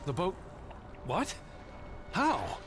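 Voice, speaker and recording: An actor playing an anxious, troubled man in a 1920s period video game drama, speaking in a halting, earnest, fretful way. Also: high-pitched, huge pitch swings, full character voice. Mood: alarmed. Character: anxious, troubled man searching for his lost sister. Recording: music behind the voice.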